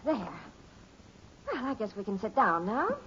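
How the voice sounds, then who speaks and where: An actress playing a sensible, skeptical middle-aged American mother and wife in a 1950s radio sitcom, brisk and motherly. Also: on the high side, big pitch swings, fairly bright tone. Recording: muffled, noisy old radio recording.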